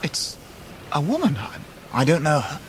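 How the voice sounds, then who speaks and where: Earnest young gentleman, high lively voice. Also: moderate pace, a little hiss or hum. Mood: wondering. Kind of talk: 1990s radio drama acting.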